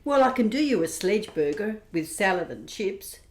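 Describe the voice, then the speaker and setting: A woman's voice: mid-range voice, big pitch swings, moderate pace, a little mellow, lightly acted. A woman playing a friendly, folksy bar owner who greets newcomers, in an amateur radio play. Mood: welcoming. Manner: folksy, welcoming. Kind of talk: amateur radio drama acting.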